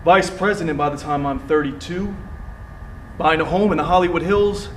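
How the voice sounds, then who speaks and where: A man's voice: a little high, normal speed, lightly acted. Actor as a cocky young ad man, distant recording. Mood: boastful. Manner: smug, self-assured. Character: cocky, ambitious young male advertising man. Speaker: stage actor. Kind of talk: live stage play acting. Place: theatre stage, recorded from far off. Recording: distant roomy theatre recording, faint noise.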